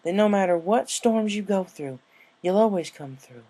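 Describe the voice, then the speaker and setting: A folksy female workhorse pony , voiced by a man, fond but quick to scold. The voice is lifted high for a storybook tale, plain-spoken and concerned. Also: lively intonation, mellow, rough recording. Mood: half-joking, fond. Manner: folksy, chiding.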